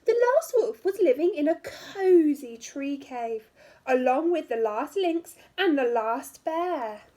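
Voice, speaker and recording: Female primary teacher, high and lively, slow storytime read-aloud with hushed asides to young listeners. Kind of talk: expressive picture-book read-aloud for young children.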